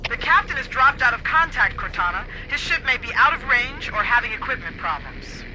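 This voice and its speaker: A woman playing a brisk, dutiful military dropship pilot in a video game cutscene, talking in clipped radio style. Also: fairly high pitch, big pitch swings. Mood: businesslike. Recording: pilot radio amid loud aircraft noise.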